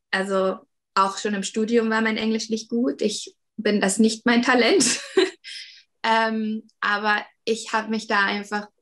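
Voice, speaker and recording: Chatty German-speaking woman, higher voice. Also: a bit bright. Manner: chatty, many fillers and hedges. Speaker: interview guest, 3D artist. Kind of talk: casual interview talk.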